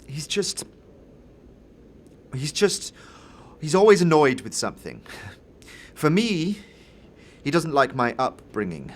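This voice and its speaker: A man's voice: fairly high pitch, sing-song, takes it slowly, long pauses, pitched above the performer's own voice, full character voice. A man voicing a nervous, scruffy young man with a hesitant, stammering delivery in a fantasy audio drama. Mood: sullen.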